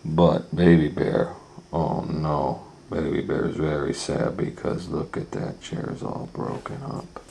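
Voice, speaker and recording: A man's voice: extremely low pitch, little pitch movement, slow, gravelly, dark tone, a little hiss or hum. A man reading a children's story aloud as an educator, asking rhetorical questions and explaining the pictures to young listeners. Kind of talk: animated children's storytime narration.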